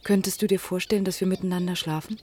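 A woman's voice: on the low side, steady tone, fast talker, a little mellow, lightly acted. Actress as frank young student woman, noisy outdoor ambience. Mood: frank, searching. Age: young adult. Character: frank, teasing student lifeguard in her early twenties. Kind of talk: German radio play acting, naturalistic dialogue.

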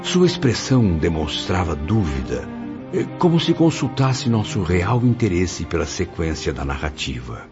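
A man's voice: low-pitched, sing-song, moderate pace. A man playing an observant, reflective narrator in a radio drama, telling the story formally. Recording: dull recording under clear music.

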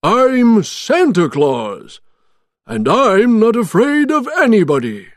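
A man voicing a defiant, indignant old Father Christmas figure in a storybook, loud, emphatic and protesting. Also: high voice, huge pitch swings, slow, no room sound, studio quality, pitched above the performer's own voice, exaggerated voice.

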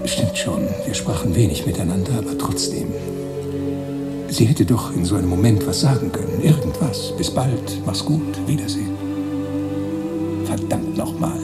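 Low-pitched male storyteller actor, brooding and reflective, measured literary radio play narration over prominent music. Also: expressive, close to an everyday voice. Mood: hurt, brooding. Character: brooding male narrator voicing passages of a novel.

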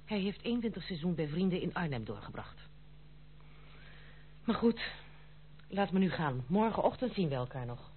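A woman's voice: on the low side, big pitch swings, moderate pace, pauses often. An actress playing a proud, stern young woman in a Dutch radio drama, speaking formally and resolutely. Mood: stern, cold. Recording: tinny, noisy old radio play recording.

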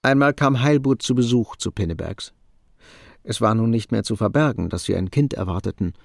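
A man's voice: medium pitch, expressive, fairly quick, creaky, darkish tone. A man narrating a literary radio drama as a sober storyteller. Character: sober storyteller of a young couple's hardships.

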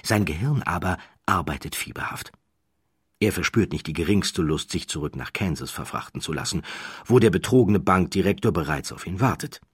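A male audiobook narrator with a low, husky voice tells a scripted story. His phrasing is deliberate and emphatic, with widely varied intonation, in a dry studio. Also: lively intonation, no echo.

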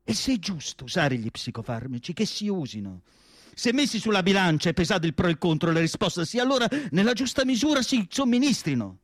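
An actor playing an anguished, indignant and nostalgic asylum psychiatrist in a declamatory radio theatre monologue. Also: male voice, high voice, big pitch swings, fairly quick, no echo. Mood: troubled, questioning. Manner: declamatory, rhetorical questions, emphatic lists. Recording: music playing behind the voice. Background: music.

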